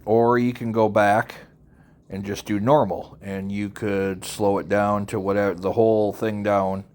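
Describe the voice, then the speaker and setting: A man with a fairly low voice presents a software tutorial. He speaks informally and without a script, instructing one step at a time. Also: flat delivery, unhurried. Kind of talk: casual, unscripted software tutorial narration.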